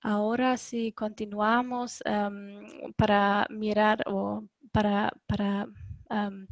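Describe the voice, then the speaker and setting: A woman's voice: medium pitch, level tone, slow, gravelly, no room sound, studio quality. Hesitant non-native woman presenting. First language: not Spanish. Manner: hesitant, many ums and self-corrections. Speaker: webinar presenter.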